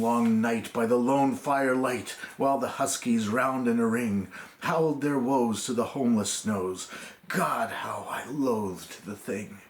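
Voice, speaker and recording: A man with a medium-pitched voice gives a dramatic recitation of narrative verse. He phrases it theatrically and deliberately, pausing for effect. The room echoes clearly around him. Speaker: poem reader.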